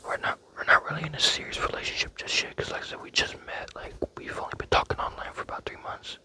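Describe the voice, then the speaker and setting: A young man rambling playfully in a close-miked ASMR whisper, with many fillers. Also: fast talker. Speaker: ASMR creator.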